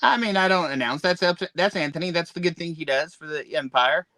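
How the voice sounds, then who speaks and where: A man's voice: a little high, brisk, sharp tone, no room sound. A man hosting a wrestling podcast, chatting in a wry, matter-of-fact way. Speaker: podcast host and ring announcer. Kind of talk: casual podcast chat.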